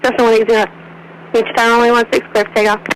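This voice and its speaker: A woman working as an air traffic controller, giving clipped clearances over aviation radio. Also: a little high, level tone, rapid-fire. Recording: tower radio transmission with faint noise.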